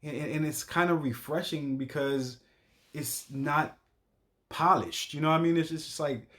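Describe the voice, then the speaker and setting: A man's voice: fairly high pitch, normal speed, fairly bright tone. A man giving casual, slangy reaction commentary, repeating himself with stammering restarts and frequent swearing. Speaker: reaction video host.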